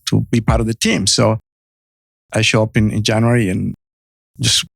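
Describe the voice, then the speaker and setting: A man's voice: on the low side, fairly even intonation, moderate pace, creaky, no room sound. A man in his fifties from Mexico with a Spanish accent, telling his story as a guest in a podcast interview, earnest and full of restarts. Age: 50s. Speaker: guest entrepreneur.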